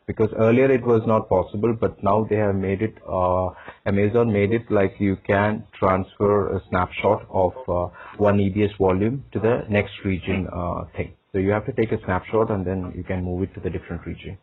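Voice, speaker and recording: A man's voice: fairly low pitch, fairly even intonation, normal speed, slightly rough. A man answering as a candidate in a phone job interview, hesitant and often repeating his words. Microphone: phone call. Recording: narrowband tinny phone line.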